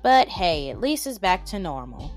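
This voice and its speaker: A woman's voice: on the low side, big pitch swings, normal speed. A young adult woman narrating an informal, opinionated video commentary with emphatic asides. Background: background music.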